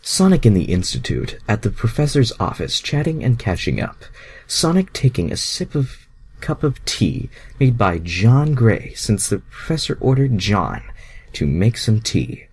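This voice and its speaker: A man's voice: on the low side, big pitch swings, unhurried, a little mellow, breathy edge. A man reading fan fiction aloud in an amateur, mocking way, crude and self-deprecating, breaking off to comment.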